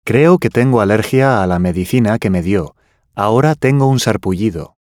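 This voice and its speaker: Low-voiced man reading careful lesson dialogue. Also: animated, normal speed, darkish tone, no echo, clean studio sound. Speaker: language course dialogue reader.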